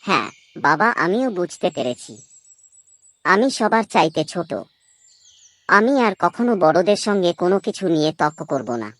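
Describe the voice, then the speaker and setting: A woman's voice: on the low side, animated, normal speed, long pauses, dry recording, close to an everyday voice. A woman voicing the youngest son of a farmer who argues in a whiny, insistent way. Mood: remorseful.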